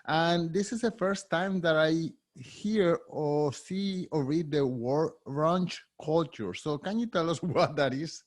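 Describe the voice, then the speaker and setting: A man in his fifties with a Spanish accent, hosting an interview and asking curious, candid questions with a slightly halting delivery. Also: on the high side. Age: 50s. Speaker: podcast host.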